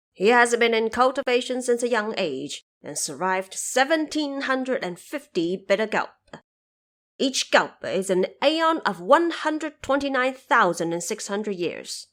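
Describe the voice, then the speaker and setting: A woman voicing a lofty male Buddha, solemn, measured and stern, in an audio drama. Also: medium pitch, fairly bright tone, voice pushed up. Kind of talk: audio drama character acting.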